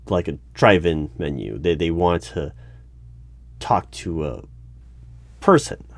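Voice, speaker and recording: A man playing a methodical, puzzled hiring manager in a one-sided interview roleplay, halting as he asks careful clarifying questions. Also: low-pitched, full character voice. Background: computer keyboard typing and clicks.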